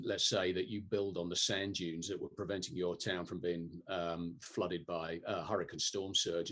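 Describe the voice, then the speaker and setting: Low husky-voiced European man. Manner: thoughtful, explanatory, with frequent ums and restarts. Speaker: guest, disaster resilience expert. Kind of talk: conversational interview answers.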